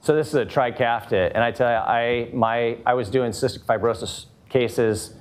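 A man on a conference panel speaking conversationally with quick asides. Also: mid-range voice, clear, resonant tone. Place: conference room.

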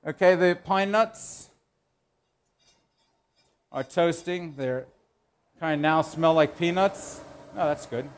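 A man in his forties, a chef instructor, explaining each step in a relaxed way as he cooks in a large teaching kitchen. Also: a little high, slow, pauses often. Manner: relaxed, explanatory, thinking aloud while working. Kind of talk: cooking demonstration. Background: food sizzling in a pan.

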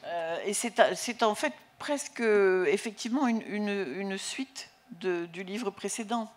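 A woman's voice: mid-range voice, unhurried. A woman in her sixties hosting a literary panel at a festival, reading excerpts aloud into a handheld mic. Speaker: panel host. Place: large festival tent with live audience. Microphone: handheld microphone.

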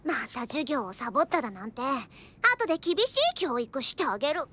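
A woman's voice: high-pitched, animated, normal speed, fairly bright tone. A woman voicing a small, girlish academy headmistress who frets and threatens punishment, in anime acting. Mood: fretful. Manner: doting yet threatening, fretful.

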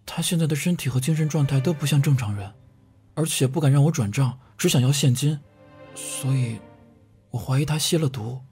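Guy acting gentle reserved student in an audio drama, mellow soft voice, earnest, faint music. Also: mid-range voice, brisk, close to an everyday voice. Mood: earnest, explaining. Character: gentle, reserved male student, shy young lover.

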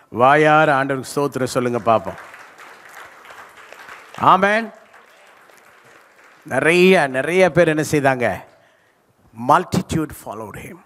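An older male pastor preaching a sermon into a handheld microphone, stretching key words and calling for answers from the congregation. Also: on the high side. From South India. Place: large church stage. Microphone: handheld mic. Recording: live church hall reverb.

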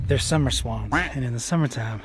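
A man's voice: medium pitch, a little fast, slightly rough. A young man talking casually and jokingly for a travel vlog, picked up by the camera's mic. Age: young adult. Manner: joking, informal. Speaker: travel vlogger. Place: outdoors on trails, also restaurant booth.

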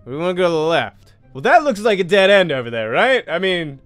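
Young male gaming host, high-pitched and bright, excitable and exclamatory, repeats himself mid-play. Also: fast talker, dry recording, faint music behind. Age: young adult. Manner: animated, exclaiming, repeats phrases while playing. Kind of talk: excitable let's play commentary. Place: small indoor room at home. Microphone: close desk microphone, headset on.

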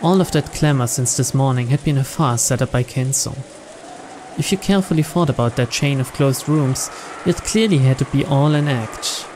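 A man's voice: medium pitch, moderate pace, noisy background. A man reading scripted story narration as host of a visual novel playthrough.

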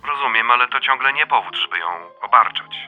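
An actor playing a blunt, wry middle-aged son in a radio drama, speaking colloquial with a dryly teasing manner. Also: male voice, medium pitch, expressive, quick pace, narrow, tinny audio, faint music behind, lightly acted. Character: blunt, wry adult son, uneasy new father. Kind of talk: radio drama dialogue, phone conversation.

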